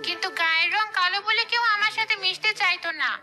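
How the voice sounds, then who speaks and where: A woman's voice: very high voice, fast, loud music behind the voice. A woman voicing a bitter, jealous, menacing female ghost in a cartoon, complaining and threatening. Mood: self-pitying. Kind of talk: cartoon voice acting.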